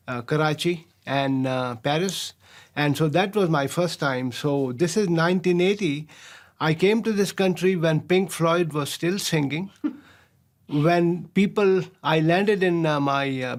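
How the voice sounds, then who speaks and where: A man, a professor telling stories of his past in an interview, pausing often on fillers and chuckling as he goes. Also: a little high. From Pakistan. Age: 60s. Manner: chuckles often, halting with many filler sounds. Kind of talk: relaxed storytelling interview.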